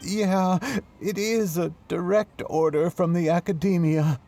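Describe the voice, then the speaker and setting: An actor playing a loyal, blunt young troupe member who talks in an emphatic, sarcastic way. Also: male voice, sits high, unhurried, mellow. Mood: indignant. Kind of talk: video game voice acting.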